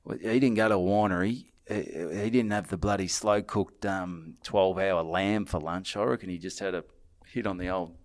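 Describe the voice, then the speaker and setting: A man's voice: deep voice, steady tone, normal speed. A man with an Australian accent, co-hosting a podcast and telling a long rambling story in crude, swearing banter. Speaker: podcast co-host.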